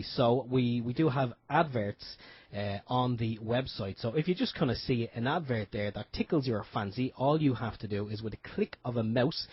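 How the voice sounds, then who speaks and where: Man, husky voice, relaxed radio announcements, muffled lo-fi audio. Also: medium pitch, no echo. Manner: relaxed, jokey, repeats himself. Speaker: radio show co-host. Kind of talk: casual radio chat and announcements. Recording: dull lo-fi internet radio audio.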